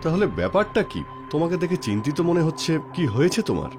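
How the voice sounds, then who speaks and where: A jovial, teasing bank manager in a story drama, played by a male actor. The voice is slightly high and very animated, over music. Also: a little fast. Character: jovial, teasing bank manager hosting his doctor friend. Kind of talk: dubbed drama dialogue.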